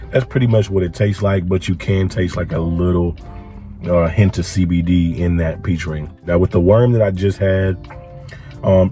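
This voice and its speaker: A young man with a very low voice and a hoarse, congested sound from a lingering chest cold. He talks in a relaxed, rambling way, full of fillers, and his tone is dark and mellow. Soft music plays faintly underneath. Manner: laid-back, frequent filler words. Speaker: vlogger reviewing products. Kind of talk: casual product review vlog.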